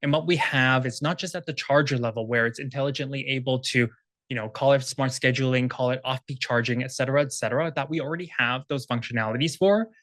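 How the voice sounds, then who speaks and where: A man answers a question from the floor at a panel, laying out technical details in quick, fluent run-on sentences. His voice sits mid-range and sounds dry and close, with little room sound. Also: mid-range voice, fairly bright tone, dry recording. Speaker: startup executive presenting. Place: conference room with audience.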